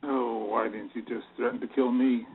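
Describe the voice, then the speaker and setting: A man's voice: medium pitch, little pitch movement, moderate pace, natural voice. A man playing a cornered, exasperated bank boss in an audio play, pleading and protesting. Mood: bitter. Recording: tinny phone-like sound, clear background noise.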